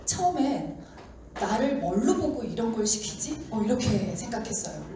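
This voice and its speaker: A woman giving a seminar talk to an audience, slightly hoarse from a sore throat, chatty and acting out the doubts of skeptics. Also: a little high, big pitch swings, moderate pace. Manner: chatty, acts out doubters' lines. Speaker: seminar speaker. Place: lecture room with audience. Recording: echoey hall mic from far off with noise.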